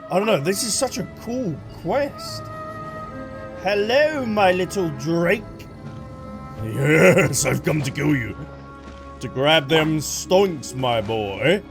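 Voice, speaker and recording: Casual gaming streamer over game music. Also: medium pitch.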